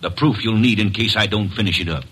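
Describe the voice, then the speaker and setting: A man playing a bitter, driven drifter bent on revenge in a 1940s radio drama, tense and husky. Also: fairly low pitch, fast, fairly bright tone. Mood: grim.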